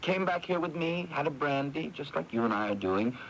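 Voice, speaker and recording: A higher-pitched, very animated man, spoiled and quick-tempered. He needles and sneers, repeating himself as he goes. It is old radio drama, with a faint hiss over it. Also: low-quality recording. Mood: restless, bitter amusement. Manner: needling, sneering, with stammered repetitions. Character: hot-tempered, spoiled, self-pitying younger brother. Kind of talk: 1980s radio drama acting. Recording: hissy 1980s AM radio airing.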